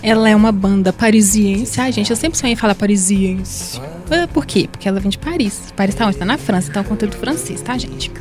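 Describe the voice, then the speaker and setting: A woman's voice: medium pitch, lively intonation, brisk, darkish tone, faint music behind. A woman with a Brazilian Portuguese accent co-presenting a radio show in a playful, chatty way with jokey asides. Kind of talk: casual radio chat.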